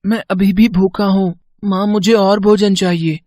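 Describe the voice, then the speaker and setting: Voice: mid-range voice, fairly even intonation, a little slow, darkish tone, a little hiss or hum, lightly acted. A performer voicing a small, politely insistent hungry boy, a god in disguise, in a storybook tale. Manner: polite, demanding. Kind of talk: acted storybook dialogue.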